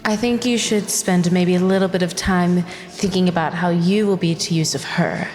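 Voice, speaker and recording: A woman's voice: on the low side, steady tone, moderate pace, a little mellow, long reverb tail. A woman taking part as a player in a tabletop roleplaying game, speaking casually. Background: music.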